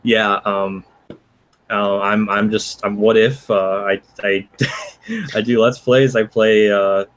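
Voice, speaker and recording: A man's voice: fairly low pitch, fairly even intonation, takes it slowly. A man in his twenties, a guest on a podcast call, who talks hesitantly and fills his speech with "like" and "um". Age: 20s. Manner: hesitant, full of "like" and fillers. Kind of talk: casual podcast chat.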